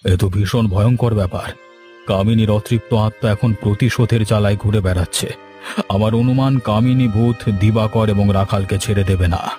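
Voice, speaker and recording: A man's voice: on the low side, steady tone, normal speed, warm tone, faint music behind, close to an everyday voice. A man voicing an authoritative village headman in a cartoon, stern and concerned, with theatrical delivery. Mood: grave, reassuring. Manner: theatrical, declarative. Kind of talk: Bengali cartoon voice acting.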